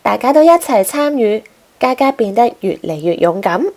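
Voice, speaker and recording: Animated, high-pitched woman storyteller. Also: moderate pace. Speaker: storyteller and host. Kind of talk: expressive children's storybook narration.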